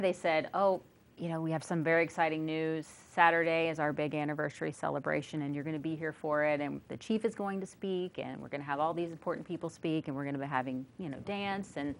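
A woman in her forties with a low voice, telling a story with real enthusiasm. She is a guest teacher on a small studio interview show. A lapel mic picks her up clearly. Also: fairly even intonation, fairly quick, fairly bright tone, slight background noise.

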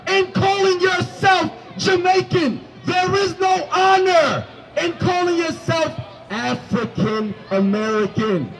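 A young man with a very high voice preaches on a busy city sidewalk, microphone in hand. He quizzes the passersby and climbs into commanding shouts. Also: takes it slowly, a faint crowd, a little hiss or hum, poor audio. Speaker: street preacher. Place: city sidewalk by a food cart. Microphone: handheld microphone.

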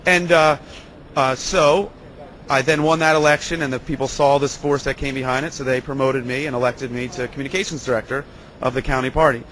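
A man's voice: mid-range voice, fairly even intonation, brisk, a bit bright. A middle-aged American man telling his story conversationally in an interview, speaking into a handheld microphone. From California, US. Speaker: interviewee, local political activist. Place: large concrete backstage area indoors. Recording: clear ambient noise of busy backstage hall.

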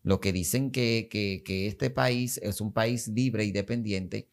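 Dominican man in his forties, lowish pitch, emphatic repetitive podcast guest on studio mic. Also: fairly even intonation, unhurried. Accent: Dominican Spanish. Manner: emphatic, repetitive, gestures while talking. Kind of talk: podcast conversation. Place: small studio set. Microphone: studio mic on boom arm.

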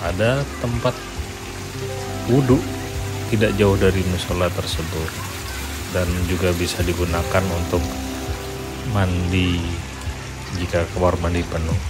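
A man narrating a camping and waterfall trip outdoors, explaining calmly with frequent filler sounds. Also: deep voice, unhurried, long pauses. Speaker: camping vlogger. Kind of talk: travel vlog narration and on-site interview. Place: outdoors at a mountain waterfall and campground. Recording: music playing behind the voice.

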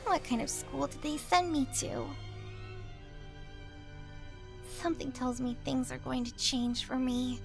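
A woman's voice: high-pitched, moderate pace, gravelly, over music. A woman playing an anxious, hesitant teenage schoolgirl in an anime fan dub. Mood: uneasy. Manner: hesitant, easily flustered.

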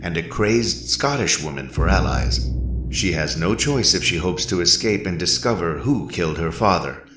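A man's voice: deep voice, expressive, normal speed. A man reading dramatic scripted narration as the narrator of a drama recap. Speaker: narrator giving a series recap. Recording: roomy sound, clear background noise.

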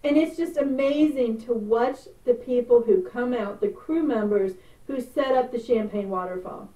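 A middle-aged woman talks through a travel vlog in a friendly, chatty way, explaining each step. Her voice sits a little high and comes across thin, like over a phone line. It also sounds distant from the mic, in a roomy space. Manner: chatty, gestures with hands while explaining. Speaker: travel vlogger. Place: small indoor room before a purple curtain.

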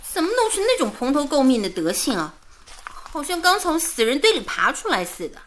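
An actress playing a proud, sharp-tongued young lady in a radio drama, quick to scold in a huff. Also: high voice, very animated, a little hiss or hum. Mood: mocking. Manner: huffy, scolding. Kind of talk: Mandarin radio drama acting.

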